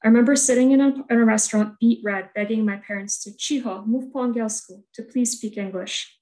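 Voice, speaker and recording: A woman whose first language is Polish, reading a scripted artist talk about her work in a soft, measured, reflective way over earbuds on a video call. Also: a little high, fairly even intonation, rough recording. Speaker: art student presenting her thesis work. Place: room at home, indoors.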